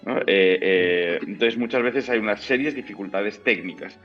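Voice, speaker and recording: A low-voiced man from talks as a singer guest in an interview. He explains with care, pausing to look for the right words. The audio is dull and lo-fi, with faint music behind him. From Spain. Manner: thoughtful, explanatory, searching for words. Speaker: opera and zarzuela baritone guest. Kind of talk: interview conversation. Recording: muffled lo-fi audio.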